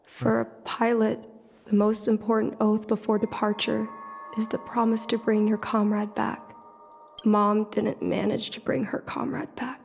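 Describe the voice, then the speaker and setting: An actress playing a grieving young daughter in a video game, speaking in a soft, subdued and hesitant way. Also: female voice, medium pitch, flat delivery, measured pace, creaky, soft background music. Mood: sad, reflective. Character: grieving young daughter learning she was adopted. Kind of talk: video game story dialogue.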